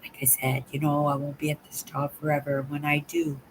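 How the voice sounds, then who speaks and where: An older woman from Canada hosting a livestream, chatting casually with viewers in a hoarse voice, rambling and tagging "right?" onto her sentences. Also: deep voice, level tone, fairly quick, a little hiss or hum, rough recording. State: hoarse from a sore throat. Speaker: livestream host. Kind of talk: casual live chat to viewers.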